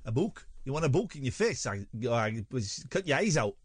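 A man putting on a threatening Geordie hard man's voice with a put-on Geordie accent, shouting threats. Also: medium pitch, sing-song, moderate pace, slightly rough, no echo. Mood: menacing.

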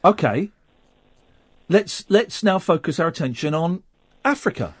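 Radio host man, rambling, keeps restarting, pitch bouncing all over, dry studio sound. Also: animated, unhurried, breathy edge, no room sound. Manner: rambling, stammering restarts, musing questions. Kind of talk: casual radio chat.

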